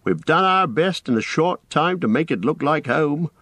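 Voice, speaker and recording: A man voicing a cheerful, bossy young male hobbit who gives brisk orders in a raised, lively voice. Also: fairly high pitch, no room sound, voice pushed up. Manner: brisk, commanding, exclaiming.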